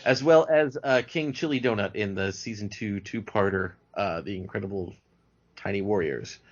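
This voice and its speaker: A man talking casually as a podcast guest, halting and repeating words as he goes. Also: on the low side. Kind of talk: casual chat. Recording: dull lo-fi audio.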